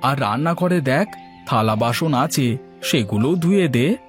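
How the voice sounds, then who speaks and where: A man's voice: medium pitch, expressive, a little slow, soft background music, close to an everyday voice. A man voicing a paternal, bossy older village landlord in a moral story cartoon, with an authoritative manner. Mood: kindly, instructing.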